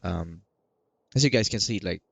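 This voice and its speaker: A man with a lowish, creaky voice giving casual gaming commentary. He rambles and halts, circling back over his words, in a dry close recording. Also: fairly bright tone, no echo. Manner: rambling, halting, repeats himself. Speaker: gaming video creator. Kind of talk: casual let's play commentary.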